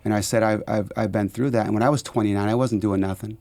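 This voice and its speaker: A man's voice: fairly low pitch, fairly even intonation, fast, darkish tone, no echo. A fifty-year-old man hosting a podcast, chatting informally and encouragingly in anecdotes, often repeating his phrases. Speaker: podcast host. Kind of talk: casual chat, anecdotal.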